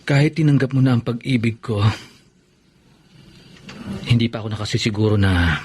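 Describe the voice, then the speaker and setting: An actor playing an ardent, earnest and slightly insecure young suitor in a heartfelt Filipino radio drama style. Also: male voice, mid-range voice, moderate pace, darkish tone, a little hiss or hum, natural voice. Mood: vulnerable, pleading. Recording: faint background ambience.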